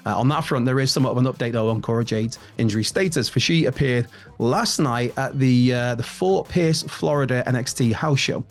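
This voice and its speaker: A man's voice: medium pitch, a little fast. A man, presenting a casual wrestling news rundown in a chatty, rambling way. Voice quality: raspy. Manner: chatty, rambling, with frequent restarts and laughs.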